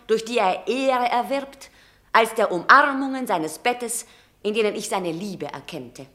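A woman's voice: medium pitch, sharp tone. An actress playing a proud, fierce Roman mother in a classical radio drama, declaiming with passion and emphasis. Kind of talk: classical stage-style radio drama acting.

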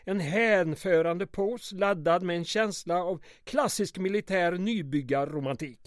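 An actor playing a nervous, smooth-talking subordinate in old-time radio comedy style, hesitant and placating. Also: male voice, high-pitched, no room sound, studio quality, close to an everyday voice. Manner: hesitant, placating, slightly stammering.

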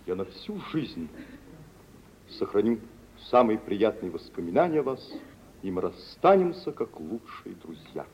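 An actor playing a suave, self-assured gentleman shipowner in an old Russian radio drama, ironic and smoothly condescending, in a theatrical manner. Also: fairly low pitch, very animated, a little slow. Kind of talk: mid-20th-century Russian radio theatre acting. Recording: muffled, hissy old radio drama recording.

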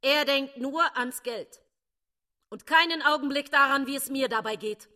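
A woman's voice: high voice, measured pace, bright, forceful tone. An actress playing a jealous, self-pitying woman's inner voice in a radio drama, fretful and insinuating. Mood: jealous, aggrieved.

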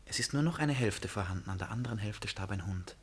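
An actor in a German radio play as a drunken, domineering and lovesick stranger who swings abruptly from bullying to confiding. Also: male voice, fairly low pitch, animated, fast, breathy edge. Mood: brooding, insinuating.

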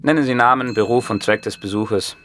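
A stern palace guard acted by a man speaks in a fairly low voice. He is clipped and official, as in a historical radio play. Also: brisk, over music, natural voice. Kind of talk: German radio drama acting.

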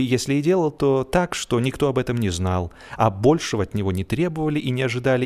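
A man reading a humorous story as an expressive, scripted narration, in a measured, theatrical storytelling manner. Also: lowish voice. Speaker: audiobook narrator. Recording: music behind the voice. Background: music.

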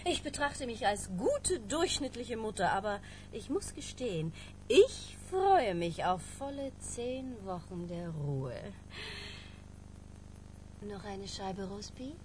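A woman's voice: mid-range voice, big pitch swings, slow, a little mellow, a little hiss or hum. An actress playing a middle-aged mother in a radio drama, flirtatious and gushing, with coy, theatrical, teasing delivery. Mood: airy, chatty.

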